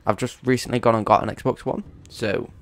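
A man's voice: mid-range voice, fairly even intonation, brisk. A young man with a cold, chatting casually and swearing now and then while commentating his own gameplay. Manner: rambling, chatty, swears at opponents. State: congested with a cold. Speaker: gaming YouTuber.

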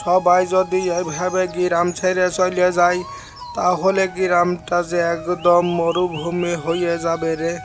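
An elderly village elder in a cartoon folk tale, voiced by an actor. The delivery is slow and even, heavy with sorrow and stubborn attachment to home. Music plays clearly behind the voice. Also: mid-range voice, natural voice. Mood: sorrowful. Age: older. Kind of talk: animated folk tale dialogue.

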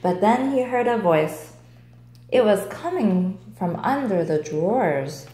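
Expressive woman storyteller, slow and lilting. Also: medium pitch, echoey room. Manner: expressive, deliberate. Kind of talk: children's picture book read aloud. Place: indoors at a table, echoey room.

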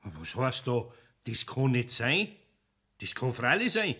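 An actor playing an older, good-natured, grumbly master carpenter with a Bavarian accent in a radio play. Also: male voice, medium pitch, very animated, unhurried, pushed and bright, breathy edge, heavily acted. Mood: curious.

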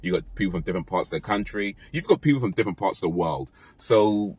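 A British man in his thirties talking casually to his phone in a parked car, relaxed and rambling with fillers and self-corrections. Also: low voice, quick pace, slightly rough, dry recording. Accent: British English. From Britain. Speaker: vlogger. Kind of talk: casual talk to camera. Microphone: phone camera held close.

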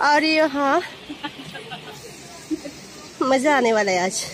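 A woman's voice: high-pitched, expressive, extremely slow, fairly bright tone, a faint crowd, slight background noise. A young woman narrating a casual vlog from a rocky riverbank outdoors, chatting along as she films on a handheld phone. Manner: chatty, mixes in English words. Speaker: vlogger. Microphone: handheld phone camera.

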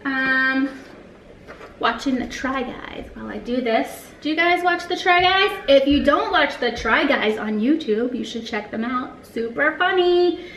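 A woman's voice: high-pitched, expressive, measured pace, fairly bright tone, slight background noise. A woman in her thirties, a family vlogger, chatting casually to the camera in her kitchen with plenty of ums and uhs. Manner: chatty, loose, with fillers like um and uh. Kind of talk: casual vlog narration to camera. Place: kitchen of a family home, indoors. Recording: echoey room with faint music.

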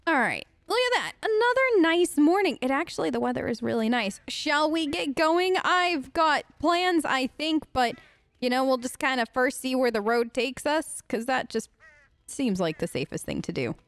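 A woman's voice: sits high, lively intonation, moderate pace, fairly bright tone, no echo. A woman hosting a role-play let's play, chatting casually in character and musing aloud as she goes. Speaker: let's play host, role-playing her game character. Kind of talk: casual in-character game commentary.

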